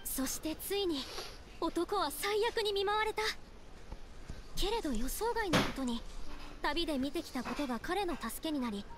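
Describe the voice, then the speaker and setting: A woman playing a gentle, devout young woman in a Japanese anime game, speaking earnestly and politely. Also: extremely high pitch, lively intonation, normal speed, background noise. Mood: solemn storytelling. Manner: earnest, polite feminine phrasing.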